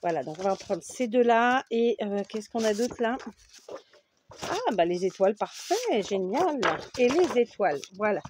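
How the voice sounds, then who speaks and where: A woman's voice: fairly high pitch, expressive, measured pace, darkish tone, slight background noise. A French woman hosting a casual craft tutorial, chatting and often checking in with her viewers with "d'accord?". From France. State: runny nose. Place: home craft table with a fan running.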